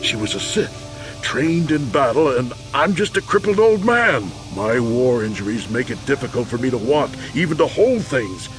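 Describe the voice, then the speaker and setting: An actor playing a crippled old war veteran in a video game, emphatic and protesting his innocence. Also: fairly high pitch. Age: older.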